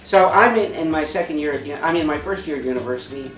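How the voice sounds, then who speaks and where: A man's voice: a little high, fast talker, echoey room, recorded from a distance, faint music behind, rough recording. A man in his sixties from Saskatchewan, a veteran actor chatting as a guest in an interview, joking about himself and laughing often. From Saskatchewan, Canada. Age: 60s. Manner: self-mocking, laughs often. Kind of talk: casual interview chat.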